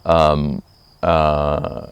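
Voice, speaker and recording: A middle-aged monk with an Argentine Spanish accent speaks in an extremely deep voice with a gravelly rasp. He talks reflectively and haltingly, often stopping to find the next word. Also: completely flat delivery, lo-fi sound. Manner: halting and thoughtful, pausing often to search for words. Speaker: monk, abbot of a monastery. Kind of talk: reflective spoken talk to camera.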